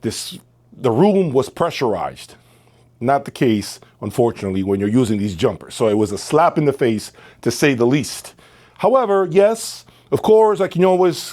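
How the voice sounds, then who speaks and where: A man's voice: medium pitch, darkish tone. A man hosting a product review in casual talk to camera, speaking emphatically and repeating phrases for stress. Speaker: host of an audio equipment review channel.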